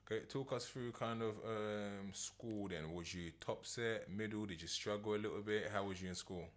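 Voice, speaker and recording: A man hosting a podcast, asking short, relaxed questions. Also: fairly low pitch, moderate pace. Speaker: podcast host. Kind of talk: casual interview questions.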